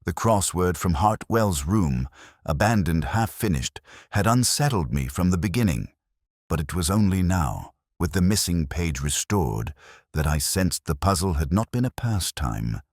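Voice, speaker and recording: A man narrating a scripted first-person detective story in a measured, literary, brooding manner. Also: deep voice, a little mellow, dry recording, studio quality.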